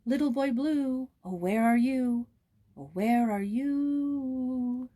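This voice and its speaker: A woman's voice: high-pitched, slow, warm tone, pitched above the performer's own voice. A woman voicing a tender young mother in a storybook, calling to her little son in a loving sing-song. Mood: playful singsong.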